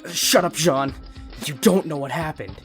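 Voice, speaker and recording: A woman's voice: low-pitched, normal speed, soft background music, close to an everyday voice. A woman voicing a blunt, defensive teenage boy student in an audio drama. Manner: blunt, informal. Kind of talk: scripted audio drama acting.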